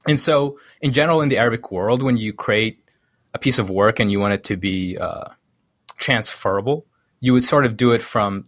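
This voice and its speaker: A man from Sudan explaining things casually as an interview guest, haltingly thinking aloud and correcting himself as he goes. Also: on the low side, a bit bright, no echo, clean studio sound. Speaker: podcast guest, programmer.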